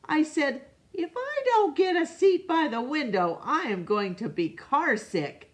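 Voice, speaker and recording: A woman voicing an aggrieved, dramatic young boy who complains about his awful day in a picture book, with emphatic, drawn-out words. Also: high voice, sing-song, pitched above the performer's own voice. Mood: indignant.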